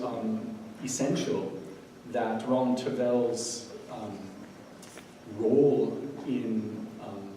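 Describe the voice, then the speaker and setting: Halting American man, echoey distant speech. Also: mid-range voice, warm tone. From United States. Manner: halting, many ums and restarts. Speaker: guest speaker, scholar and curator. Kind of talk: unscripted speech at an event. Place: large event room. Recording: echoey room, mic far off, audible noise.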